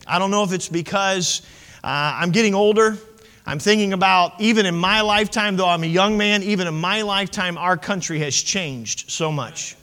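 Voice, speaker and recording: A man's voice: high-pitched, pushed and bright, long reverb tail. A man in his late thirties, preaching a sermon as pastor, impassioned and conversational with asides to the congregation. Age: 30s.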